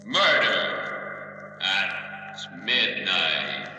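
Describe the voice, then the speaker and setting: A man's voice: low voice, big pitch swings, drawn-out words, exaggerated voice. A man playing a sinister radio herald announcing a title, with a heavy echo effect on the voice. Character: sinister, slow male herald of murder. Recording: cavernous echo, distant, loud music.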